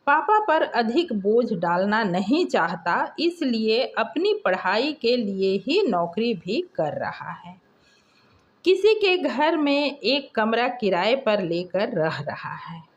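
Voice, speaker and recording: A woman reads a scripted story with expressive, animated intonation. Her voice is rather high and her delivery unhurried. The recording sounds a little muffled and lo-fi.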